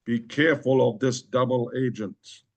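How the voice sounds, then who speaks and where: Measured Māori-speaking New man. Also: mid-range voice, steady tone. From New Zealand. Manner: measured, with hesitant fillers and restarts. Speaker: reader at online reading. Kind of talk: reading his own flash fiction, with spoken introductions. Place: home in Hong Kong. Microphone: video call.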